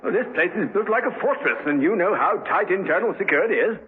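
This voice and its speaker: An officious colonel in a 1970s radio thriller, formal and easily flustered. His voice is high and animated, through a narrow, muffled old recording. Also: fast talker, breathy edge, exaggerated voice. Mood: bewildered. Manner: formal, defensive, hesitant when apologetic. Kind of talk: 1970s radio drama acting.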